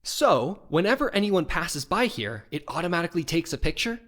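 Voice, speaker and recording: A man's voice: a little high, sing-song, a little fast, a bit bright. A man voicing an earnest, dogged young defense lawyer in a courtroom game, with insistent, emphatic questioning. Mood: methodical. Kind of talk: video game fan voice acting.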